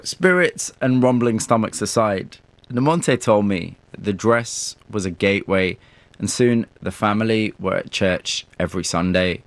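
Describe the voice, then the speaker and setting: A man's voice: fairly low pitch, unhurried, dry recording. A man hosting an interview in a studio, asking curious, probing questions and narrating between answers. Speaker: host and interviewer.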